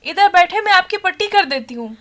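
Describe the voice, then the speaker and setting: A dutiful young daughter in a radio play. Her voice is extremely high, with an earnest, caring manner. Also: animated, brisk, fairly bright tone. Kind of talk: radio drama acting.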